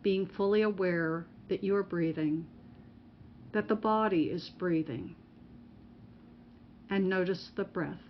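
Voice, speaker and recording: A woman narrating a guided meditation as a mindfulness teacher, in measured, instructional phrases with pauses between them. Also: fairly low pitch. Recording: muffled recording with faint background noise.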